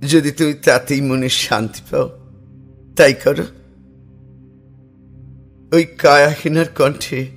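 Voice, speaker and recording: A fairly high-voiced man narrates a ghostly encounter in an audio drama. He speaks slowly and deliberately, uneasy yet tender, with music underneath. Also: a bit bright. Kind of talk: dramatic first-person horror story narration.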